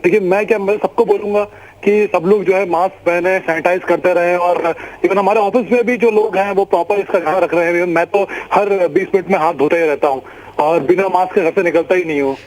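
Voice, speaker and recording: A man's voice: high-pitched, steady tone, a little fast, a little mellow. A man talking casually and politely on a phone call. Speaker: prank call recipient.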